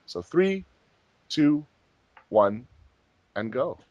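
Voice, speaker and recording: A man's voice: medium pitch, sing-song, extremely slow, darkish tone, no room sound. A man hosting a group commentary track in a casual, chatty style. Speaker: host of a fan dub commentary track.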